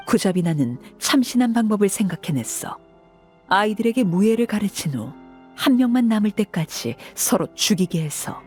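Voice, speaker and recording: Woman acting a cold, low, composed commanding woman. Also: close to an everyday voice. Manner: measured, detached, authoritative, deliberate. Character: cold, composed commanding woman harbinger recounting a grim past. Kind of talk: scripted video game voice acting. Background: soft background music.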